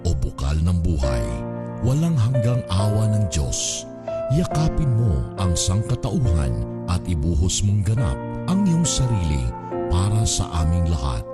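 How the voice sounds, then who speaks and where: Deep male prayer reader over loud music. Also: very animated, a little slow. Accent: Filipino. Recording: loud music bed under voice.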